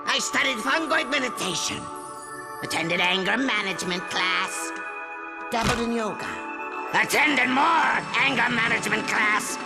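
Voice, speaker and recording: An actor voicing a theatrical robot supervillain in a video game, maniacal and petulant, with grandiose, drawn-out, melodramatic delivery. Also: male voice, high-pitched, vocal fry, full character voice. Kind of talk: video game cutscene acting.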